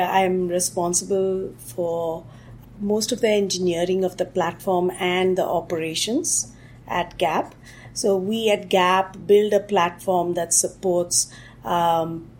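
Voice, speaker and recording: A woman from India, a technology executive answering interview questions thoughtfully and often pausing on "uh". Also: fairly low pitch, darkish tone, a little hiss or hum, low-quality recording. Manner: thoughtful, with frequent "uh" fillers.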